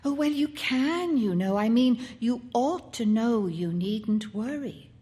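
A woman's voice: on the high side, lively intonation, unhurried, darkish tone, pitched above the performer's own voice. A woman voicing an overwrought, obsessive young boy in a story, pleading politely and insistently. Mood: insistent reassurance.

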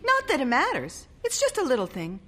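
A woman voicing a young newlywed wife who chatters in a quick, breathless, pleading way during a short story reading. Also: sits high, huge pitch swings, fairly bright tone, a little hiss or hum, pitched above the performer's own voice, full character voice. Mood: wounded.

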